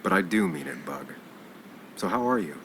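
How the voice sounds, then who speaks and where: Very deep-voiced, earnest journalist. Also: male voice, lively intonation, moderate pace, background noise. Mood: gentle. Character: earnest, caring male journalist, troubled but steady. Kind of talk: video game voice acting.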